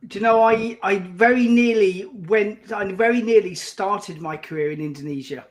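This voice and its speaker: A man's voice: sits high, normal speed. A man from England, co-hosting and chatting casually with rambling anecdotes. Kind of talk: casual chat.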